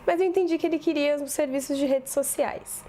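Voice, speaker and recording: A woman's voice: high-pitched, brisk, mellow. Rambling woman telling her story. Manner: rambling, self-correcting, conversational. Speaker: communications business owner and professor. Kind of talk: casual personal storytelling to camera. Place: home office.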